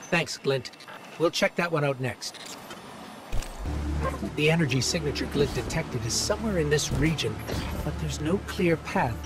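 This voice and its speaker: A man's voice: a little high, lively intonation, measured pace, long pauses, over loud music. A man playing an earnest, anxious little robot companion in a video game, speaking in a precise, analytical way. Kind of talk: scripted video game dialogue.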